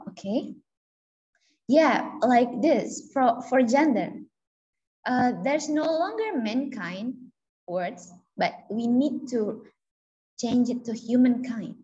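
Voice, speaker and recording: Woman, class facilitator, very slow pace, mellow tone, lo-fi video call audio. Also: on the high side. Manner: slow, with fillers and soft backchannels. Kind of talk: online class discussion.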